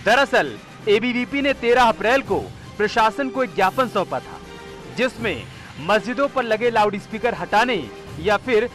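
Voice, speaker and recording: A man narrating a television news report as a field reporter, with a measured, emphatic delivery. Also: high-pitched, a bit bright, faint music behind.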